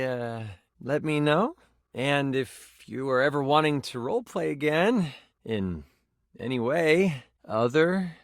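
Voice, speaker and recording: An actor playing an eager, smitten tabletop game master in a video game, shifting between dramatic storytelling and flustered, earnest talk. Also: male voice, a little high, fairly bright tone, no room sound, clean studio sound, heavily acted. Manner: theatrical narration alternating with flustered, earnest gushing. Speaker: voice actor in a dating sim game. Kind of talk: video game character voice acting.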